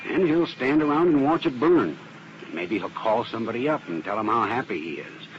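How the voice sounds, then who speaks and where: Actor as plain-spoken arson expert, noisy old radio. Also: male voice, medium pitch, animated, fairly quick, close to an everyday voice. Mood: assured explaining. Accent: American. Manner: explanatory, plain-spoken, assured. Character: earnest, methodical male arson specialist briefing an investigator. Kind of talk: 1950s radio drama acting. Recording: dull old radio recording with heavy noise.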